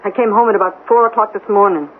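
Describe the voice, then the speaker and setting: An actress playing a feisty, defensive middle-aged mother with a sharp-tongued, suspicious manner in a 1970s radio drama. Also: medium pitch, fast talker, lightly acted. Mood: defensive, uneasy. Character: feisty, defensive mother who cleans offices at night. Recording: tinny, noisy old radio broadcast.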